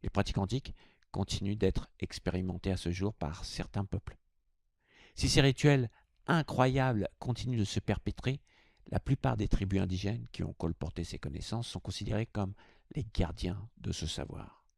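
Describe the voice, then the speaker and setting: A low male voice with noticeable creak, recorded very dry and close-sounding. He speaks as the host of an online video, presenting to his viewers. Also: moderate pace, no echo. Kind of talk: informal video greeting, then scripted explanatory narration.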